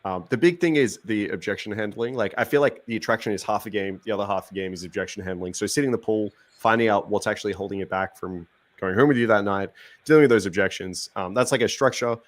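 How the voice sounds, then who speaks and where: A low-voiced man talks quickly and methodically, with plenty of fillers. The sound is dry and close to the mic. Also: dry recording. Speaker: dating coach on a panel. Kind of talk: casual panel chat.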